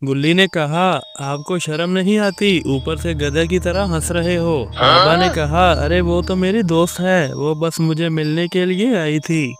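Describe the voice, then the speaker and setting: High-pitched man narrating a cartoon story. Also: hardly any pauses, a bit bright, slight background noise. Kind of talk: scripted narration of a comic children's horror cartoon, voicing all dialogue himself. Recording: music behind the voice. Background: music.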